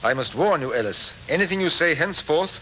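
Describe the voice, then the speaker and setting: An actor playing a polite but firm police inspector, formal and measured, in 1950s radio drama. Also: male voice, a little high, animated, natural voice. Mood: brisk, stern. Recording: muffled, noisy old radio recording.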